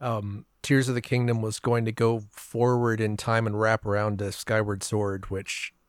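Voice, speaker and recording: A man's voice: on the low side, level tone, normal speed, no room sound, clean studio sound. A man speaking as a podcast guest in a casual, conversational style. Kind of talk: casual chat.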